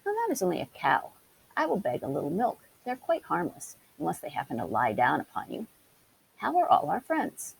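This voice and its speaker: A timid, gentle country mouse from a storybook, voiced by a woman. He speaks meekly and earnestly, his pitch moving a lot and his voice very creaky, over a faint hiss. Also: huge pitch swings, normal speed, exaggerated voice, rough recording. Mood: welcoming. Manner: meek, earnest. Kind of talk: storybook character voice. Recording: hissy background noise.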